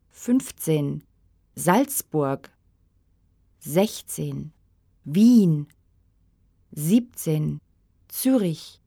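A woman reading numbers and textbook dialogue lines with careful, deliberate enunciation for a language course. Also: deep voice, creaky, warm tone, breathy edge, no room sound, studio quality. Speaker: course audio speaker.

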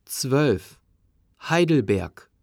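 A man reading numbers and dialogue lines for a language course with clear, deliberate enunciation. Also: mid-range voice, expressive, darkish tone, no echo, clean studio sound. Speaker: course audio speaker. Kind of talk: slow textbook reading.

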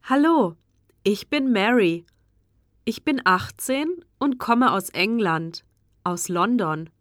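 A woman reading a textbook self-introduction with clear, careful delivery. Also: mid-range voice, expressive, takes it slowly, fairly bright tone, dry recording, clean studio sound.

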